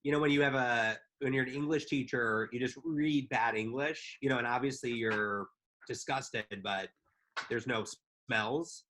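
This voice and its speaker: A man's voice: mid-range voice, fairly bright tone, rough recording. A man co-hosting a live podcast, talking in a loose, rambling, jokey way with mild swearing. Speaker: podcast co-host. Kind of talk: casual chat.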